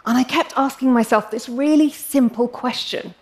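English woman, young, passionate speaker, slightly high pitch, wry humor. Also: moderate pace. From England. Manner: passionate, self-deprecating humor. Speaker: speaker giving a talk. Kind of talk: prepared public talk.

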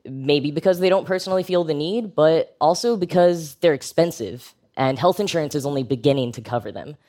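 A man's voice: fairly high pitch, a little fast, darkish tone. An American man delivering a prepared live talk in a conversational, wry and emphatic way, as a public speaker with a humorous, educational bent.

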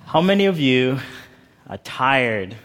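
Male speaker from Uganda, lively funny storytelling, live stage talk. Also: mid-range voice, slow, heavy background noise. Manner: humorous, vivid storytelling. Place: stage in a large hall.

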